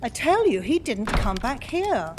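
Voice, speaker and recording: A woman's voice: on the high side, sing-song, a little slow. A woman acting a touchy, outspoken Victorian lady in an audio play, emphatic and forthright. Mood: incredulous, insistent. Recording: faint background noise.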